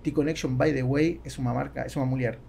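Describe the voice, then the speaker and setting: A middle-aged man with a Spanish accent gives conversational answers on a podcast. His voice is dark and mellow with a gravelly creak, and he halts often to search for words. Faint noise sits behind the studio microphone. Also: medium pitch, steady tone, fast. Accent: Spanish-accented Portuguese. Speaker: podcast guest, business executive. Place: small foam-treated podcast studio. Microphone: close boom-arm studio microphone.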